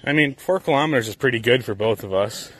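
A man talks his way along a forest trail with a camera in hand, casual and joking. His voice is husky with a bright edge, picked up outdoors. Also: medium pitch, fast talker, low-quality recording. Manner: chatty, joking, talks while walking. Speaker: vlogger. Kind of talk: casual family hike chat. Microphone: handheld camera.

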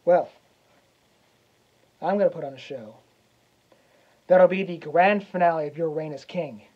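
A man playing a vengeful young prince as a cocky wrestler cutting a theatrical promo, swaggering and taunting with dramatic pauses. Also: fairly high pitch, phone-quality sound. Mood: menacing. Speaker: actor.

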